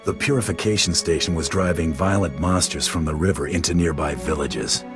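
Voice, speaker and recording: An actor playing a stern, cool-headed hero for hire who speaks tersely in a video game. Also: male voice, low voice, over music. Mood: stern, calm. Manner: terse, measured.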